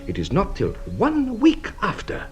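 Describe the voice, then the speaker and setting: An actor playing a shrewd, courteous French police inspector in a radio drama, questioning with theatrical politeness. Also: male voice, high voice, wildly swooping pitch, unhurried, soft background music, full character voice. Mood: probing.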